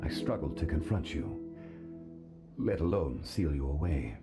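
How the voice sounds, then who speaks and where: A calm, ancient god speaks in a deep register with measured, formal dignity. He sounds wistful, like a game character recalling a long life, with loud music behind him. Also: full character voice. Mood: solemn, fond. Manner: formal, measured, solemn phrasing. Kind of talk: video game character dialogue.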